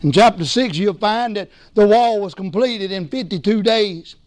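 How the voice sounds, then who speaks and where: A man preaching a sermon as pastor, hoarse from losing his voice, emphatic and calling for responses. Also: sits high, moderate pace, darkish tone, no echo. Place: church sanctuary.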